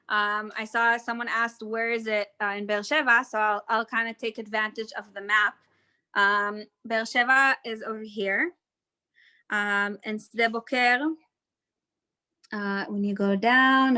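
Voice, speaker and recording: Bright, American-sounding young woman guide. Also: a little high. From Israel. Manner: chatty, hesitant, full of fillers and restarts. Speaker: tour guide. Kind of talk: live virtual tour with audience questions. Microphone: video call.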